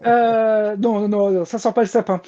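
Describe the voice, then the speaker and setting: A woman's voice: medium pitch, fairly even intonation, moderate pace, warm tone, dull audio. A woman giving an enthusiastic, casual review as a manga columnist, with hesitant phrasing.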